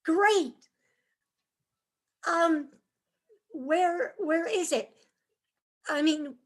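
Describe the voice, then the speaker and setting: Woman acting high-voiced, wide-eyed tourist wife. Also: drawn-out words, full character voice. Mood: curious, eager. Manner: eager, wide-eyed, chirpy. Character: naive, cheerfully enthusiastic tourist wife, easily delighted. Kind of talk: amateur staged play reading.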